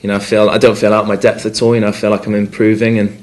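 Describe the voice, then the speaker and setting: Man in his 20s, from North Wales, slightly low voice, hesitant, filler-heavy, press conference answers. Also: little pitch movement, rapid-fire. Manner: hesitant, many fillers and restarts. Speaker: rugby player.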